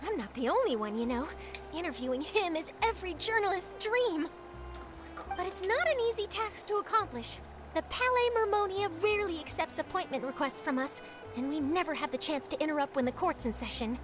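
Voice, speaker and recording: A woman voicing a keen, persistent young journalist in a video game, speaking in an earnest, brisk way. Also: very high voice, animated, music in the background. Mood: earnest, defensive.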